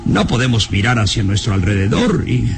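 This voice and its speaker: An elderly professor in a radio serial, fretful and bossy, with a gravelly voice. His delivery swings widely in pitch as he hesitates and warns, over some background noise. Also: very animated, brisk. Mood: fearful, suspicious. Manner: nervous, hesitant interjections, stern warnings. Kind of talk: radionovela acting.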